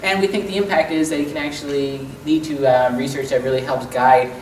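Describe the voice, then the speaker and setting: A woman with an extremely low voice gives formal remarks at a conference. She is recorded a little way from the mic in an echoey room. Faint noise sits behind her. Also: steady tone, brisk, darkish tone, recorded from a distance.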